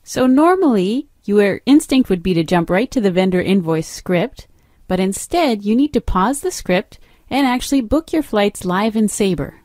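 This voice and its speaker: A woman narrating an instructional software demo as a trainer, explaining step by step in an instructive way. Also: medium pitch, animated, moderate pace, no echo, clean studio sound.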